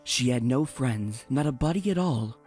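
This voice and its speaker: A woman tells a storybook tale as narrator. Her delivery is lively and expressive, with music playing underneath. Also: a bass voice, moderate pace. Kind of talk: scripted storybook narration in rhyme.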